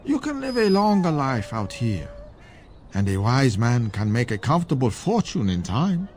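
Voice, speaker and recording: A man's voice: medium pitch, sing-song, moderate pace, darkish tone, a little hiss or hum, natural voice. A man playing a suave, worldly foreign merchant with shady dealings in a fantasy video game, courtly and philosophical in manner. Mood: wistful, worldly. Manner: courtly, smooth-talking, philosophical.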